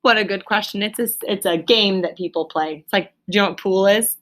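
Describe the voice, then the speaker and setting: A woman reading a children's story aloud like a teacher, with emphatic, deliberate phrasing and explanatory asides. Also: mid-range voice, a bit bright.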